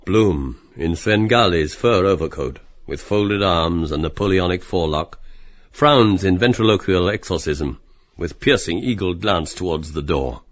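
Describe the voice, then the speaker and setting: A man's voice: sits low, expressive, measured pace, lo-fi sound. A man reading literary stage directions as scripted audiobook narration.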